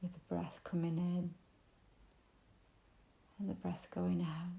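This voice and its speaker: A woman with a mellow, creaky voice guides a meditation gently and soothingly. Her delivery stays very even and invitational, with unhurried pauses between phrases. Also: fairly low pitch, roomy sound. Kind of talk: guided body scan meditation.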